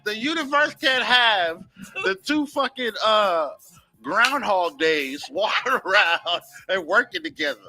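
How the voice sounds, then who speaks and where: A man's voice: high voice, unhurried, no echo, faint music behind. A man with a big voice co-hosting morning radio, talking casually with lots of cursing, laughter and mocking put-on voices. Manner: profane, animated, mocking impressions, laughs often. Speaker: radio co-host.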